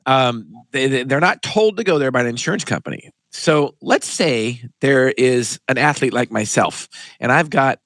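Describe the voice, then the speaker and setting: A male pharmacist hosts a casual health chat in a mid-range voice. He tells stories with animated emphasis. The recording is dry and close. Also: medium pitch, moderate pace, dry recording. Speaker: pharmacist and podcast host.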